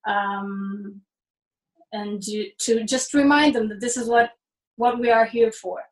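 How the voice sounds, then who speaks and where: A young woman from Israel with a slightly raised pitch speaks as a musician guest in an interview. She answers thoughtfully, pausing and restarting her phrases as she looks for the right words. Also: steady tone, poor audio.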